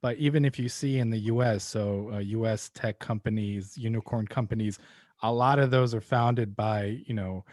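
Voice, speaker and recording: A man, a podcast host speaking in a reflective, measured way. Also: lowish voice, fairly even intonation, a little mellow, no echo, clean studio sound. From United States, born in Ukraine. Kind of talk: casual interview chat.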